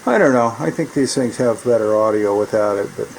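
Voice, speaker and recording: A man's voice: fairly low pitch, a little fast, darkish tone, low-quality recording. An American man giving a casual, rambling, folksy demonstration and chatting as a radio hobbyist. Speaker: radio repair hobbyist and CB operator. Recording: clear background noise.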